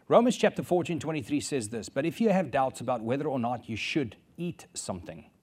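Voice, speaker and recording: Man, pastor, sermon, earnest, repeats phrases for emphasis, mid pitch. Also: darkish tone. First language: Afrikaans.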